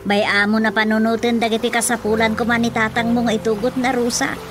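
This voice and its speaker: A patient older mother , acted by a woman, placates in a somewhat high, resigned voice. The Ilocano radio drama plays with clear music beneath her. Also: level tone, quick pace, close to an everyday voice.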